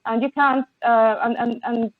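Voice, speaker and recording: A woman's voice: on the high side, little pitch movement, no room sound. Impassioned woman on a tinny line. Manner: halting, many restarts and repeated words. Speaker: podcast guest. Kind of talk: impassioned conversation. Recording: tinny phone-like line, dry.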